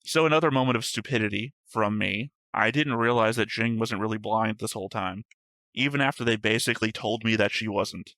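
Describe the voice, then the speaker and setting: A man with a somewhat low but bright, forceful voice talks through his episode notes in casual, rambling chat. He pokes fun at his own mistakes and explains his points at length. The sound is close and dry. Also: brisk, dry recording. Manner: chatty, self-deprecating, long winding explanations. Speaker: reaction show co-host. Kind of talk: casual discussion of an anime episode.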